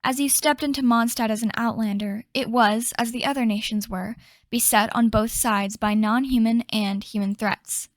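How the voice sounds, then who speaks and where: A woman playing a solemn storyteller, narrating a fantasy game legend in a measured way. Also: on the high side, steady tone, no room sound, clean studio sound, natural voice.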